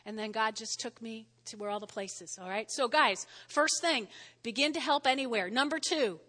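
A woman pastor with a New Jersey accent, preaching a sermon in a lively, conversational way and often checking in with "all right?". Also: fairly high pitch, moderate pace. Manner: lively, spells words out, frequent "all right?" check-ins. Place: church sanctuary. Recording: long hall reverb.

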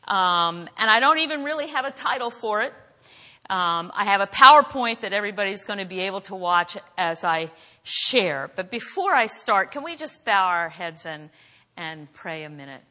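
A woman preaching a sermon in a church, with a naturally loud, earnest voice that she says hardly needs the microphone. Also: mid-range voice, expressive, normal speed. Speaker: guest preacher. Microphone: handheld or stand microphone. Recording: church hall reverb.

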